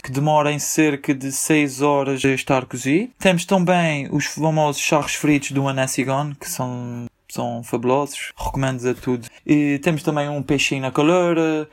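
A man from the Azores with a strong Azorean Portuguese accent gives recommendations remotely as a guest. He speaks hesitantly, stopping and restarting often. His voice sits at an ordinary mid pitch. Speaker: guest contributor.

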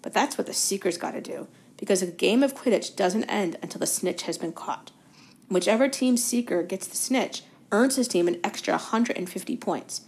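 A woman's voice: mid-range voice, normal speed, a little mellow, lightly acted. A woman voicing a tired teenage boy wizard who explains things in a weary, resigned way.

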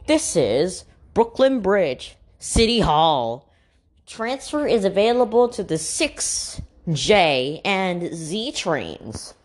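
A woman reading scripted subway announcements in a formal, measured way, setting listed items apart with pauses. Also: mid-range voice.

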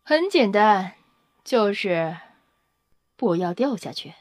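A woman playing a confident, teasing scientist in an audio drama, brisk and matter-of-fact with a playful, self-satisfied manner. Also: mid-range voice, big pitch swings, a little mellow, no room sound, studio quality. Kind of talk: Chinese audio drama acting.

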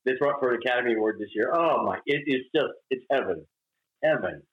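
A man's voice: medium pitch, animated, fast talker. A male actor speaking as an interview guest in casual chat, gushing with exclamations. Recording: echoey, dull lo-fi sound.

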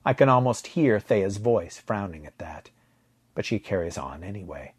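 Low, husky male story narrator, close and dry. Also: animated, normal speed, no echo. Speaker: fiction narrator. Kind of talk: first-person audiobook narration of a science fiction story.

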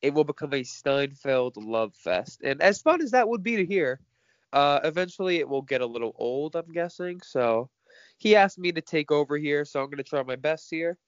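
A man's voice: on the high side, animated, normal speed, no echo, muffled recording. A man with a stuffy nose, co-hosting a casual chat about movie news in a frank, chatty way. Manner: chatty, frank, laughs between points. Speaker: podcast co-host.

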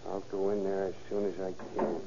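An actor playing a slangy, sly small-time jailbird in a 1930s radio crime drama. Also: male voice, sits low, flat delivery, fairly quick, lightly acted. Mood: urgent, conspiratorial. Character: crafty jail trusty turned escapee, a smooth-talking small-time crook. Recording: muffled, noisy old radio recording.

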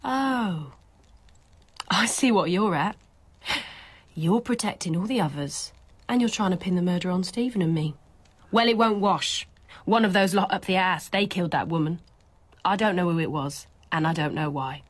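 A woman's voice: on the low side, normal speed, long pauses, close to an everyday voice. An actress playing a defiant, obsessively lovesick young working-class woman in a British radio drama, blunt, colloquial and insistent.

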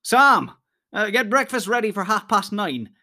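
A man's voice: a little slow, heavily acted. A man reading aloud, voicing a cheeky, complaining young male hobbit. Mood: grumbling. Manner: high, complaining, lively.

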